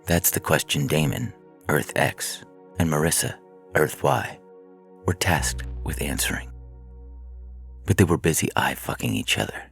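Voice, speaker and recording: A man with a deep, husky voice reading a scripted science fiction story as narrator. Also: measured pace, a bit bright, faint music behind.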